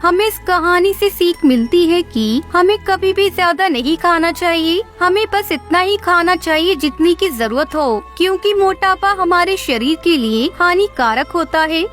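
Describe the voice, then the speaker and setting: A woman's voice: extremely high pitch, lively intonation, unhurried, hardly any pauses, a bit bright. A woman narrating a scripted moral story for children. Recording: music bed behind the voice.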